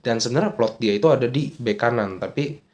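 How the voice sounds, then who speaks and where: A man's voice: medium pitch, fast talker, a little mellow. A young man with a stuffy nose from a cold, chatting casually about football as a vlogger, mixing in English words. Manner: casual slangy talk mixed with English words, thinking aloud. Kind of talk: casual solo commentary.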